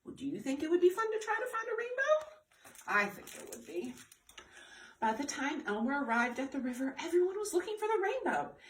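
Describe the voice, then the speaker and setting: A woman's voice: high voice, sing-song, brisk, fairly bright tone, slight background noise. A woman hosting a children's storytime, reading aloud expressively and putting questions to the young listeners. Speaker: children's library storytime host. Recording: roomy echo, distant microphone.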